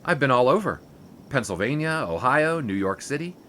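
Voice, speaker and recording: A man's voice: mid-range voice, very animated, moderate pace, fairly bright tone, a little hiss or hum. Orville, a man playing a wisecracking 1920s sports writer. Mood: wry. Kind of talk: 1920s period audio drama.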